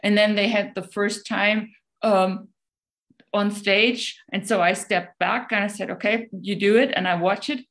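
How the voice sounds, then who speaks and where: A woman speaking English with a German accent in a relaxed, unscripted talk. She pauses often and fills the gaps with ums, restarting her sentences as she searches for words. Her pitch sits in an ordinary middle range for a woman. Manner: hesitant, many ums and restarts. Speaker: guest speaker. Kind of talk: casual talk answering questions.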